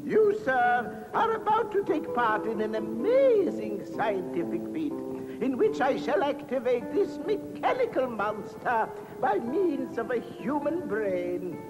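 Shrill, theatrical mad lady scientist. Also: sing-song, slow pace, full character voice, rough recording. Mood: grandly scheming. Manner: theatrical, commanding. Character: scheming, excitable female mad scientist doctor. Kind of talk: 1960s cartoon voice acting. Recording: old cartoon soundtrack with loud music.

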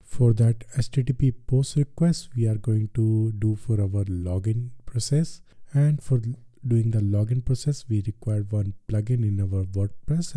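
A man with an Indian accent narrating a software tutorial step by step, with repetitive filler phrases. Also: lowish voice, no room sound. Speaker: tutorial presenter.

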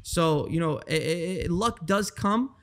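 A young man with a slightly high, gravelly voice, speaking earnestly in a casual podcast chat. He often repeats words while he gathers his thoughts, talking into a handheld mic in a bright living room. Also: expressive, unhurried. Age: 20s. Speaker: guest, trader.

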